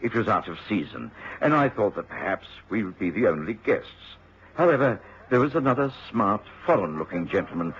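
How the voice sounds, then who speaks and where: An actor playing a hearty, romantic English doctor and narrator in a radio drama, with a British accent. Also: male voice, on the low side, sing-song, brisk, a bit bright. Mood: measured narration. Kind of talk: mid-century radio drama acting and narration. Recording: dull, noisy old radio recording.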